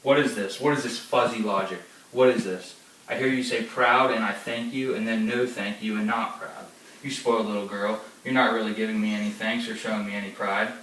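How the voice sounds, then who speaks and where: A domineering, hot-tempered noble father, as a man plays him with a bright, forceful tone and a scolding, ranting manner. The recording is echoey and distant, with a faint hum of ambience behind it. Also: on the low side, fairly even intonation, brisk, close to an everyday voice. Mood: raging.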